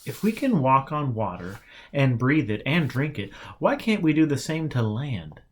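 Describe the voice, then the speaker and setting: Exasperated male pupil, read by a male narrator, questioning and colloquial, mid-to-raised pitch, storybook dialogue. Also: sing-song, moderate pace, close to an everyday voice. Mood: puzzled.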